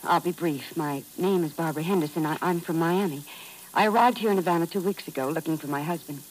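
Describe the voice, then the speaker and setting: An actress playing an earnest, insistent deserted American wife, melodramatic in the manner of 1950s radio drama. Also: female voice, deep voice, fast talker, rough recording. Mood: composed, earnest. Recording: old radio recording with faint hiss.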